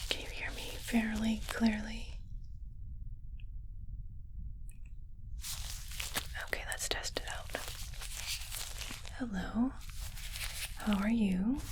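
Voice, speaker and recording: A woman playing a calm alien translator technician in a whispered ASMR roleplay, speaking softly and carefully, like a reassuring clinician. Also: on the high side, takes it slowly, long pauses, rough recording. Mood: attentive, clinical.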